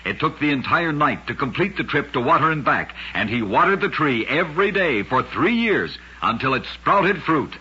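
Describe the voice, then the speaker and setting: A man's voice: on the high side, very animated, moderate pace, hardly any pauses, bright, forceful tone, slightly rough. A man narrating scripted oddities as host of an old-time radio program, with a dramatic, emphatic storytelling delivery. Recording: muffled old radio recording.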